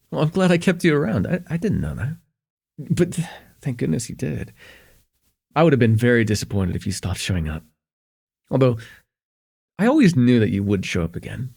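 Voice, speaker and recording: A man playing a shy, smitten young student in an intimate ASMR roleplay, with an earnest, hesitant delivery and a husky, slightly gravelly voice. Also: medium pitch, full character voice. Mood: relieved, fond. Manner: hesitant, earnest, stammering, chuckling softly between phrases.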